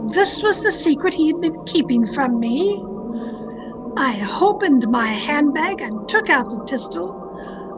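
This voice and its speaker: An actress playing a jealous, murderous mystery novelist in a radio drama, with deliberate melodramatic delivery over a home call recording. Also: female voice, high-pitched, heavily acted, low-quality recording. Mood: bitter, jealous. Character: jealous, murderous woman mystery novelist confessing her crimes. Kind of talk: dramatic first-person radio mystery narration. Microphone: video call.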